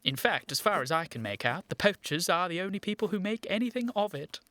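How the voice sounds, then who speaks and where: A man playing a polite, nervous young gentleman with an upper-class English accent in a comic period radio play. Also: high-pitched, lively intonation, a little fast. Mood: hesitant, earnest. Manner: hesitant, deferential, apologetic.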